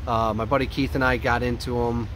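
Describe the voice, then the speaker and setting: American male vlogger, thirties, casual and rambling, mid-pitched, recorded outdoors at a van with clear ambient noise. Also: level tone, fairly quick, fairly bright tone, low-quality recording. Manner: rambling, thinking aloud. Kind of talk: casual update vlog to camera. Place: open back of a van, outdoors.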